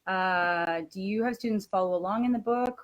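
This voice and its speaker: A woman who teaches high school, co-presenting a webinar in an explanatory teacher-training style. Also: normal speed.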